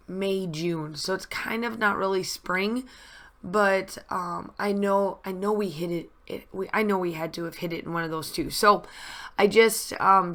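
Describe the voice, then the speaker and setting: A woman's voice: medium pitch, a bit bright. A woman narrating a scrapbooking video in a casual, rambling voice-over with many fillers and restarts, her voice a little groggy after losing it. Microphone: voice-over mic.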